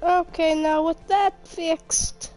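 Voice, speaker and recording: A woman with a croaky voice from being sick, casually commenting on her gameplay, muttering to herself and mock-frustrated. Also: very high-pitched, steady tone, slow pace, a little mellow, soft background music. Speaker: gaming youtuber.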